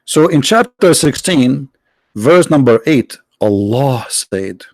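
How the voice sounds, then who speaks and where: A man whose first language is Arabic hosting a live stream, talking sarcastically, halting often on fillers and now and then breaking into mock singing. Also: mid-range voice, animated, darkish tone, no room sound. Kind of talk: mocking religious debate stream.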